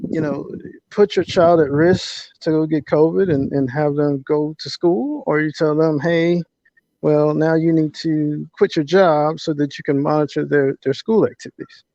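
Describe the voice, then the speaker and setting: Male interview guest, slightly high voice, earnest, repetitive phrasing, conversational, dull band-limited audio. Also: steady tone, moderate pace, warm tone, dry recording. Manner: earnest, repeats words as he builds points.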